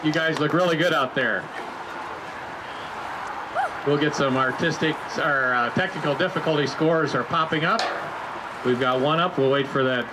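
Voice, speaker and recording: A man's voice: on the high side, moderate pace, long pauses. A middle-aged man announcing a water ski show outdoors by a lake, interviewing skiers with folksy jokes over a handheld microphone. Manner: jokey, folksy banter. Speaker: event announcer. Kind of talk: live announcing and on-stage interview. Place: outdoor lakeside beach stage. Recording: PA voice with loud crowd and outdoor noise. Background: crowd and water noise.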